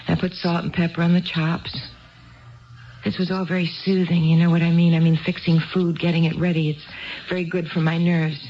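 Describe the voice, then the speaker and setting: A woman's voice: fairly low pitch, gravelly, slight background noise. An actress playing an earnest, neglected but stubborn wife in a 1970s radio drama, plaintive and given to repeating her phrases. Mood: quiet recounting. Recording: dull lo-fi 1970s radio broadcast.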